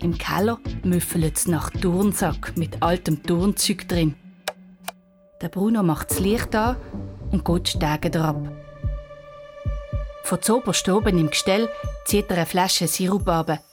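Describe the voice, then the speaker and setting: A woman with a slightly low voice tells a children's story in Swiss German. It is scripted narration, with music clearly playing behind her. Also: unhurried, long pauses.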